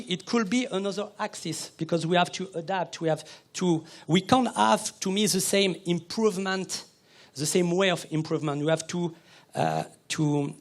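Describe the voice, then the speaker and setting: A man answering questions on a conference panel, his halting and full of restarts and repeated words. His voice sits fairly high for a man. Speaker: researcher presenting a project.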